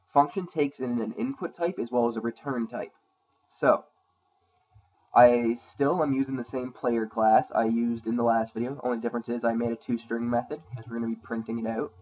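A man's voice: medium pitch, steady tone, normal speed, darkish tone, rough recording. Casual man hosting a coding tutorial. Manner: casual, thinking aloud with many ums. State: slightly sore throat. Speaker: programming tutorial host. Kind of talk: screen-recorded coding tutorial. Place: at a computer, voice-over on screen capture.

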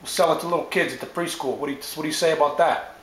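A man's voice: a little high, rapid-fire, a bit bright, roomy sound, close to an everyday voice, poor audio. A man voicing a swaggering small-time wiseguy father who rambles about schemes in a tough-guy drawl and keeps nudging for agreement. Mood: scheming.

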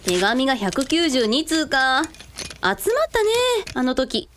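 Woman acting easygoing big sister in radio drama, high pitch, lively swings, brave face. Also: unhurried, slight background noise. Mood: breezy. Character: easygoing, brave-faced eldest sister, a musician.

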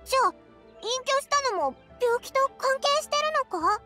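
Tiny game fairy sidekick: very high, piercingly bright, cheeky and excitable, brash boyish talk. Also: female voice, moderate pace, soft background music, exaggerated voice. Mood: curious, puzzled. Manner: boyish, brash, rough casual speech. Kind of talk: animated video game dub acting.

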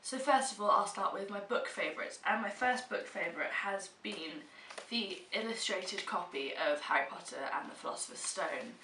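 A woman's voice: medium pitch, animated, moderate pace, few pauses. A young British woman vlogger with a stuffy cold, chatting casually and emphatically to camera in a room at home. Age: young adult. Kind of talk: casual monthly favourites chat to camera. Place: small room at home, indoors. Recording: roomy echo, distant mic, faint hiss.

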